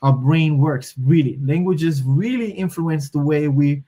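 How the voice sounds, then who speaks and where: Thoughtful Moroccan-born man, higher voice. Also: unhurried, mellow. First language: Moroccan Arabic and French. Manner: thoughtful, restarts and searches for words. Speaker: guest. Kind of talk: conversational interview.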